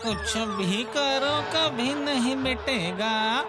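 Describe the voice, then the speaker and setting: A woman's voice: fairly high pitch, extremely slow, over loud music. A woman voicing a touchy, grumpy male sweet-shop owner in a cartoon, scolding and defensive.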